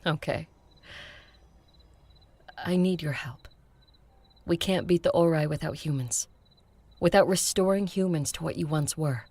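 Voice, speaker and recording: A woman playing an ancient vampire leader in an audio drama, earnest, measured and resolute. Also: low-pitched, darkish tone, husky, no room sound, full character voice. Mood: contrite. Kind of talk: audio drama acting and first-person narration.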